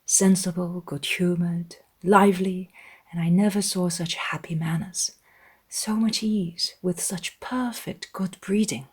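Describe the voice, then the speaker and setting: Woman playing a soft, breathy, gentle young lady. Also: fairly low pitch, steady tone, a little slow. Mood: softly admiring. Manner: soft-spoken, earnest, mild. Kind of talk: dramatized audiobook character.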